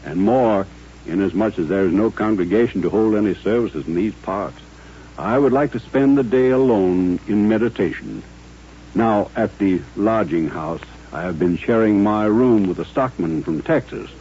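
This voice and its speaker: An actor playing a solemn, courteous Southern gentleman colonel with a formal, reflective manner in an old radio western. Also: fairly low pitch, normal speed, husky. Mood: polite, earnest. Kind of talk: 1950s radio western acting. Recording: dull, humming old-time radio recording.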